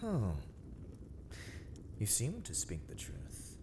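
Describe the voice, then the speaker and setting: A man playing a haughty, menacing vampire lord in a dark ASMR roleplay, speaking in formal, archaic, deliberate phrases. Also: fairly low pitch, huge pitch swings, noisy background, exaggerated voice. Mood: intrigued, haughty.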